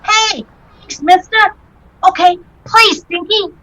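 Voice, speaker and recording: A woman's voice: very high voice, slow, rough recording. A woman playing a brash, eager young street boy with slangy talk in an old-time radio drama. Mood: cocky. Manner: slangy, exclaiming kid talk. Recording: noticeable background noise.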